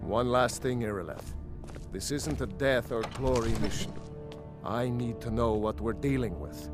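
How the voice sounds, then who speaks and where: A man playing an authoritative jarl of a fantasy city, measured and decisive, for a video game. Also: mid-range voice, lightly acted. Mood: firm. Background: game music.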